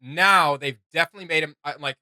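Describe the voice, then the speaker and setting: A man gives casual reaction commentary in a bright, fairly high voice. He rambles enthusiastically and jokes as he thinks aloud. The sound is dry and close. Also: quick pace, no echo. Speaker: reaction video host.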